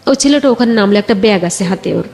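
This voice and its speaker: A Bangladeshi woman telling her own life story conversationally, a little haltingly, quoting remembered exchanges. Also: mid-range voice, expressive, a little mellow. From Bagerhat area, Bangladesh.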